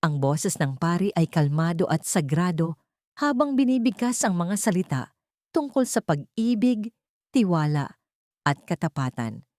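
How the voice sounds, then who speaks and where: A woman reading a scripted dramatic love story as narrator, in a measured, solemn manner. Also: on the low side, very animated, darkish tone, no echo, clean studio sound.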